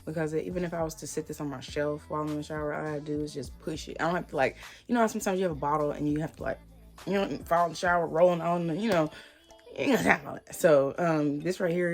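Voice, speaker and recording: Chatty woman with a slightly hoarse voice. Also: low-pitched, darkish tone. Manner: chatty, rambling, lots of fillers. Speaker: hair vlogger. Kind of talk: casual product review chat.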